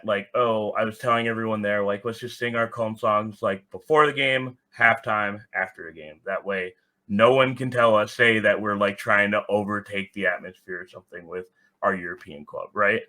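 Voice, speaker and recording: A man with a fairly low voice and a bright, punchy edge speaks as a podcast guest. His talk is candid and casual, full of "like", with blunt opinions and some swearing. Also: normal speed. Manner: blunt, swearing, heavy use of "like". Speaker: podcast guest, soccer supporters group organizer.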